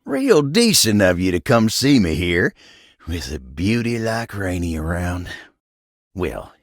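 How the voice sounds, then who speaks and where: An actor playing a folksy, humble, hardworking cowboy coffee table in a video game, with a gravelly American Southern drawl and an easygoing, genial manner. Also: male voice, sits low, big pitch swings, takes it slowly, dry recording, studio quality, full character voice. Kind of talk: video game character dialogue.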